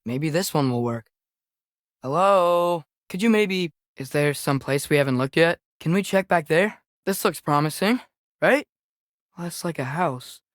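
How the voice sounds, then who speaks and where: A man's voice: a little high, expressive, a little slow, dry recording. A young male actor voicing an earnest, curious teenage boy adventurer in a video game, tentative but eager in a naturalistic style, recorded dry and close.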